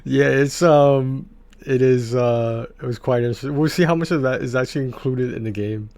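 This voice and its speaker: A man chatting casually on a game livestream, talking in a rambling, halting way and often repeating his words. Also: medium pitch, darkish tone.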